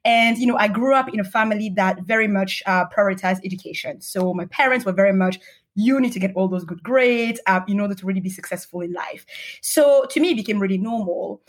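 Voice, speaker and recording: Female podcast guest, mid-pitched with a husky edge, earnest and explanatory, conversational storytelling. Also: brisk. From Cameroon and Madagascar, partly raised in Paris. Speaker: podcast guest, startup investor. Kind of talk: conversational interview answers, personal narrative.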